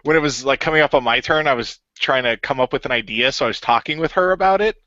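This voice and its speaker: A man's voice: medium pitch, fast talker, bright, forceful tone, dry recording. A man hosting a casual wrap-up chat about his radio play, laughing often and telling stories loosely. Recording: dull lo-fi audio.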